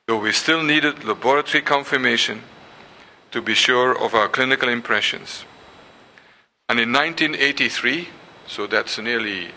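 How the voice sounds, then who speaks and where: An older man whose first language is Dutch gives a symposium lecture, pausing often with ums and restarts and reading passages aloud. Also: medium pitch, bright, forceful tone, a little hiss or hum, rough recording. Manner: hesitant, many ums and restarts. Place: lecture hall.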